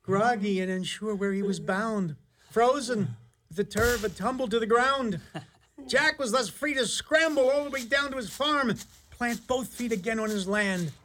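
A man's voice: extremely high pitch, normal speed, fairly bright tone, slight background noise. A man narrating a fairy-tale radio play in an ornate, dramatic, theatrical style. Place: radio studio.

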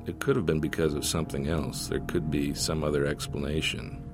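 A man's voice: a bass voice, level tone, brisk, music in the background. A man voicing a skeptical, plain-spoken small-town card player with a folksy, hesitant manner in a story reading. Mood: musing.

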